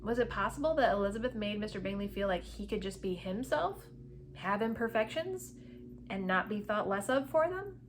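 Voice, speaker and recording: Female narrator for romance fiction read-aloud. Medium pitch, expressive, dramatic and emphatic. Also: normal speed, slight background noise. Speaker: narrator reading a novel aloud.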